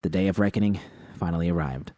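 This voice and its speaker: A man narrates a first-person story in a low-pitched voice. The tone is dark and mellow, and the recording is dry and close, with no room sound. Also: fast talker, dry recording. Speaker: audiobook narrator.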